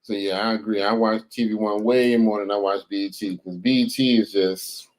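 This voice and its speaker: A man co-hosting a hip hop podcast, talking in a relaxed, agreeable way with lots of fillers. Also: fairly low pitch, normal speed. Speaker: podcast co-host. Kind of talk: casual chat.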